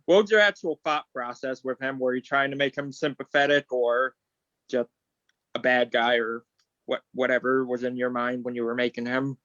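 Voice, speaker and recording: A male actor talks casually in an online discussion. His pitch is average. The sound is dry and a little dull, as on a video call. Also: fairly even intonation, moderate pace, a bit bright, dry recording. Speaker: actor in the play. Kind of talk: casual chat.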